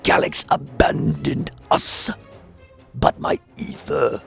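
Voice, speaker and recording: A man voicing a defiant, sneering alien prisoner in a radio play, gravelly, spitting out long, mocking words. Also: mid-range voice, sing-song, a bit bright, faint music behind, pitched above the performer's own voice, heavily acted. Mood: contemptuous, boastful. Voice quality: gravelly, creaking. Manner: spitting words out, drawn-out mockery.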